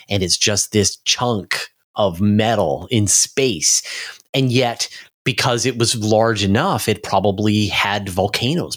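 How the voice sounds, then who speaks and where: A man speaking as a podcast guest, explaining space science in an enthusiastic, informal conversational way. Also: lowish voice.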